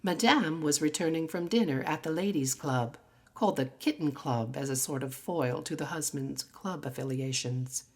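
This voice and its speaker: Woman w a low voice reading a story out loud, dramatic and expressive. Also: moderate pace, slight background noise. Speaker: story reader. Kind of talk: scripted literary narration.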